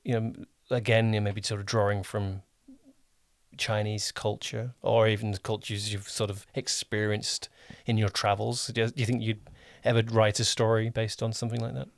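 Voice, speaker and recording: A man's voice: lowish voice, flat delivery, moderate pace, creaky, no echo. Man interviewing casually, dry sound. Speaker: podcast host, producer.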